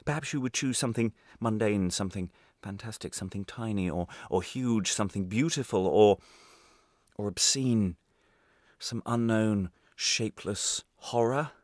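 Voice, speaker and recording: Male actor as vengeful ex-prisoner for radio drama: low register, deliberate menace, theatrical, close dry sound. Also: dry recording, natural voice. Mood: quietly menacing. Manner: deliberate, menacing, theatrical, swinging from hushed to agitated. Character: embittered, vengeful former prisoner menacing his captor with a bomb. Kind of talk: dramatic radio play monologue.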